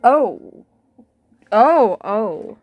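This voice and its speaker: High voiced gamer woman, slow casual reactions that jump around in pitch, faint game music. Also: very animated, highs cut off. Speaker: game streamer.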